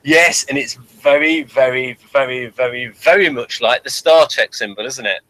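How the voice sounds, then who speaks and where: A man's voice: medium pitch, moderate pace, fairly bright tone, rough recording. A man co-hosting a review show in casual discussion, hesitant and repeating words as he thinks aloud.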